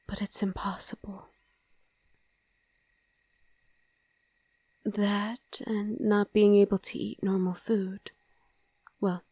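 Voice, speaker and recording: A woman's voice: medium pitch, dark tone, no room sound. A woman playing a loving, wistful vampire wife in an intimate ASMR roleplay, speaking tenderly and often in a whisper, close to the microphone. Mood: wistful, longing. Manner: slow, tender, coaxing murmur. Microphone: close, dry microphone.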